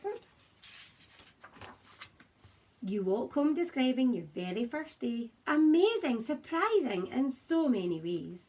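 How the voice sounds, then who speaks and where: Female primary teacher, highish and a little husky, expressive storytime read-aloud for young children, encouraging and simply worded. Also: slow, pauses often. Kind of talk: children's picture book read-aloud with chatty asides to young viewers. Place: child's room at home, indoors.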